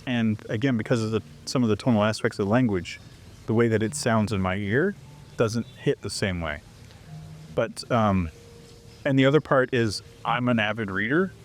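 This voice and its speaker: Man, lively storytelling guest. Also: fairly low pitch, moderate pace, a little hiss or hum. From Canada. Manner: animated storyteller who acts out quoted exchanges. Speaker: interview guest, foreigner living abroad. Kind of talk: casual interview chat.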